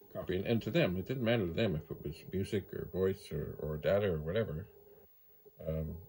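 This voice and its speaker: An older man with a low, gravelly voice and a mellow, dark tone. He rambles casually as a hobbyist presenter, unhurried and musing, often repeating words for emphasis. There is faint noise behind him. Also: low-quality recording. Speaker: vintage computer hobbyist presenting his channel. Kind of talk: casual rambling demonstration talk.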